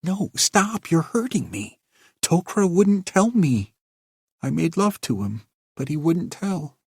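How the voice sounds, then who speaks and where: A man's voice: on the high side, very animated, slow pace, husky, dry recording, studio quality, pitched above the performer's own voice, full character voice. A man voicing a proud, scheming young woman who snaps and hisses at her lover. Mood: sharp. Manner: hissing, snapping, then pleading.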